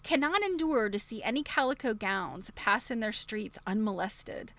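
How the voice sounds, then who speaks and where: A woman's voice: on the high side, expressive, moderate pace, a bit bright. A woman hosting a casual livestream, reading aloud from a book and digressing into chatty, easily amused commentary. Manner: chatty, digressive, often breaking into chuckles.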